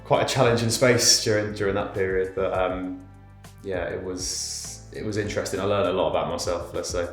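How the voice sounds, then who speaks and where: A hesitant man in his thirties from London pauses often as he searches for words. His voice is deep and flat, in an echoey room with faint guitar behind him. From London, England. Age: 30s. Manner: hesitant, many ums. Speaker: interviewee. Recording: roomy echo, faint guitar music.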